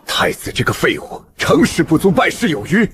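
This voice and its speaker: A man's voice: fairly high pitch, sing-song, moderate pace, noisy background. A man playing a bitter, vengeful old scheming chancellor in a Chinese costume drama. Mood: scornful fury.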